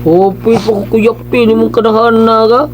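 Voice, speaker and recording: High-pitched, panicky coward cop. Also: male voice, moderate pace, soft background music, low-quality recording. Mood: flustered bluster. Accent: Cebuano. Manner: stammering, excitable, repeating words in panic. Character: cowardly male policeman, panicky, whining, blustering husband. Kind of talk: Cebuano radio drama acting.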